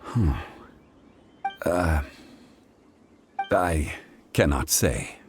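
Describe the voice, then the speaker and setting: Casting: ageless dignified male deity for a game, low register, measured, formal, quietly wistful. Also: expressive, very noisy, heavily acted. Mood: courteous, reserved. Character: ancient dignified god in human guise, calm and wistful. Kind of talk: scripted video game dialogue.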